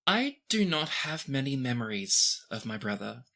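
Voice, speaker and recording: A performer voicing a formal, composed young princess, bright-toned. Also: medium pitch, big pitch swings, vocal fry, exaggerated voice. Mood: reserved, wistful. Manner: formal, measured, stately phrasing.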